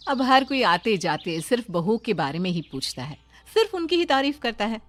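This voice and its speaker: A woman's voice: fairly high pitch, sing-song, normal speed, fairly bright tone. A woman giving scripted narration for a cartoon moral story, as its narrator.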